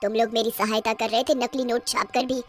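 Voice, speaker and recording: A high-pitched, vengeful cartoon witch, played by a woman, gloats and taunts in a horror tale. Her threats are drawn out and menacing, with soft music behind them. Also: flat delivery, brisk, natural voice. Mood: menacing, boastful. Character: vengeful, gloating female witch who runs a factory. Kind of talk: Hindi cartoon horror story acting.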